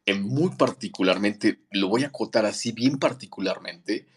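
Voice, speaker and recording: Rambling low-voiced male host. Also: normal speed, slight background noise. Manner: rambling, self-correcting questions. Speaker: podcast host. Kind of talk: interview questions.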